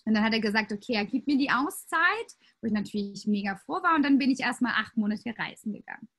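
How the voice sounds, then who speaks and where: Woman, late twenties, from Austria, yoga teacher, chatty, bright tone. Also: fairly high pitch, quick pace. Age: 20s. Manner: lively storytelling with many fillers. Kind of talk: casual interview talk.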